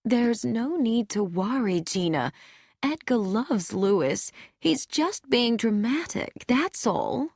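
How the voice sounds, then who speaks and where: A woman voicing a serene, soft-spoken older woman. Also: on the high side, lively intonation, slow, no room sound, clean studio sound, pitched above the performer's own voice. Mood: soothing.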